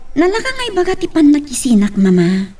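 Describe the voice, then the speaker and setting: A woman's voice: high-pitched, wildly swooping pitch, brisk, mellow, far from the mic. A woman playing a sweet, troubled young woman in love, tender and affectionate, in an Ilocano radio drama.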